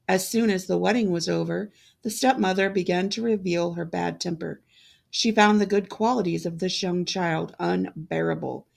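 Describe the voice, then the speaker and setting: A woman reads a fairytale aloud as a storyteller. Her voice is on the low side and heavily creaky, and she takes her time, phrasing slowly and deliberately. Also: darkish tone. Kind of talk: scripted fairytale narration.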